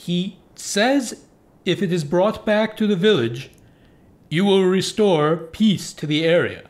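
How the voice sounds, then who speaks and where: Man playing a slow, solemn alien translator. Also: high-pitched. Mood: grave. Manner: slow, grave, halting. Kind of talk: comedic sci-fi radio play acting.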